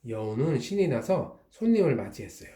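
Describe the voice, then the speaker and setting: A father reading a children's storybook aloud, in a slow, gentle, drawn-out way. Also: medium pitch, big pitch swings. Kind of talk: storybook narration. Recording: echoey room.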